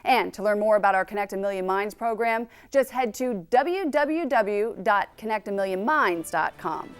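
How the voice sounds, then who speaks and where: A woman's voice: medium pitch, lively intonation, moderate pace, clear, resonant tone, soft background music. Upbeat woman presenting local news. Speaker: news show host. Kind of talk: scripted news narration.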